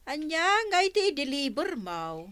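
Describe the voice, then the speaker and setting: A nagging, suspicious woman with an Ilocano accent. Her voice is fairly high and very lively, in dry radio comedy-drama style. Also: normal speed, no echo. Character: nagging, suspicious female friend of the heroine.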